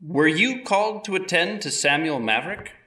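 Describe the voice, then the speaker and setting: A man's voice: fairly high pitch, lively intonation, normal speed, sharp tone. A man playing a formal, probing 18th-century lawyer examining witnesses in a scripted trial re-enactment. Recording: roomy echo, distant from mic.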